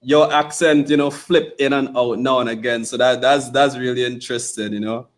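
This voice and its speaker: A man's voice: medium pitch, fairly even intonation, moderate pace. A man hosting an interview podcast, talking in a casual, playful way with lots of "you know" and "okay". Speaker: podcast host.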